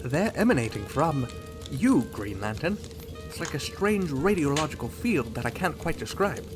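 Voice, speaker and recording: Guy voicing a brainy hero doc, precise, kinda high, music playing. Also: big pitch swings, moderate pace. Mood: puzzled, analytical. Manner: precise, clinical explaining. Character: brainy male costumed hero doctor with a scanning visor. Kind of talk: fan radio drama acting.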